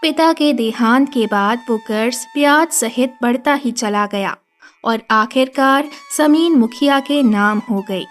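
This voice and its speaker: A woman reads a children's story as narrator. Her voice is very high and her delivery slow and measured. Soft music runs faintly underneath.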